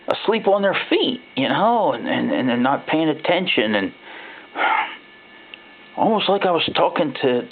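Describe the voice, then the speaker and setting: A man narrating a first-person horror story in a folksy, halting, conversational way, like an ordinary guy confiding. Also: fairly high pitch. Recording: thin phone-like band-limited sound.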